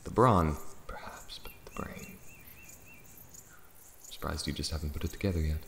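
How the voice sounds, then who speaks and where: An ancient dragon in elven male disguise, acted by a man, speaks in an extremely deep voice. He is proud, amused and deliberate, with theatrical fantasy ASMR phrasing. The sound is roomy and echoing, with faint crickets and nature ambience behind. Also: lively intonation, background noise, full character voice. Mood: condescending, amused. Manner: slow, deliberate, theatrical, breathy near-whispers at times.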